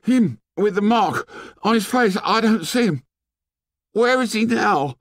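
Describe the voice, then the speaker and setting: A man's voice: high-pitched, expressive, slow, creaky, a bit bright, dry recording, clean studio sound, voice pushed up, full character voice. A man voicing an indignant, anxious schoolboy in an audiobook, scolding in whiny, ungrammatical speech. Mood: frightened, gasping. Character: indignant, whining schoolboy, anxious bespectacled outsider.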